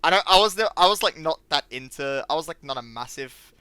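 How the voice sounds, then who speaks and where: Clear, bright-voiced man interviewing casually. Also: fairly high pitch, animated, fairly quick, no room sound. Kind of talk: casual interview chat reading out viewer questions.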